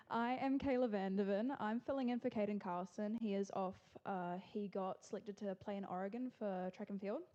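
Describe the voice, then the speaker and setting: A young woman from New Zealand with a New Zealand accent, introducing herself on a panel in a friendly, brisk way. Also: mid-range voice, steady tone, mellow, dull audio. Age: young adult. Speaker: student athlete panelist.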